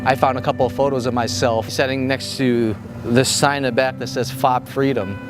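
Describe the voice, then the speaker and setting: A man's voice: medium pitch, steady tone, normal speed. A man speaking earnestly and reflectively, giving a personal testimonial as a police officer and veteran. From born in Vietnam, grew up in Chicago, United States. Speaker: police SWAT officer and army veteran telling his story. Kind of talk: personal testimonial over a promotional film. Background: music.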